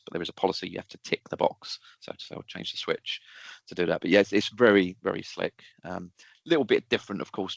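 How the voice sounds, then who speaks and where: A man's voice: sits low, creaky, dry recording. A man giving an informal expert explanation in a technical webinar, going step by step with practical examples. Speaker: technical expert answering audience questions.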